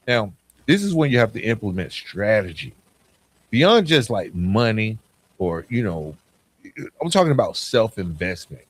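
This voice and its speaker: Low-voiced man with lively, swooping delivery. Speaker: motivational podcast host. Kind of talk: motivational monologue, conversational.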